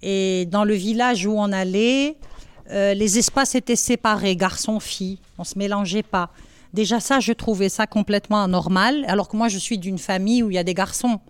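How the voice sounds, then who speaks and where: A woman in her sixties from Algeria, answering schoolchildren's questions in a classroom, thoughtful and halting with many pauses. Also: medium pitch, clear, resonant tone, no echo. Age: 60s. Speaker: women's rights activist answering pupils' questions.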